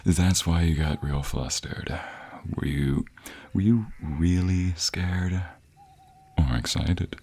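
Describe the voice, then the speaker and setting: A man's voice: low voice, takes it slowly, darkish tone, husky, full character voice. A man playing a playful, doting scoundrel of a lover in a romantic audio roleplay, teasing affectionately. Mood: playful, flirtatious. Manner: teasing, affectionate, chuckling banter.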